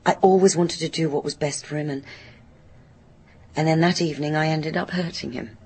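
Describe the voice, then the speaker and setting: A woman's voice: deep voice, steady tone, moderate pace, warm tone, husky. A woman playing a troubled, guilt-ridden woman in her fifties in a radio drama, hesitant and brittle. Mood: reflective. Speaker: actor in a radio play. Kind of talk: naturalistic radio drama acting, confessional.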